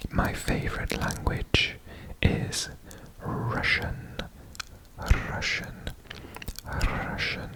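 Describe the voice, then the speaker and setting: A person from Wales whispering in a breathy, deliberate way for ASMR, repeating words. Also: low-quality recording. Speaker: ASMR host presenting a personal guessing quiz.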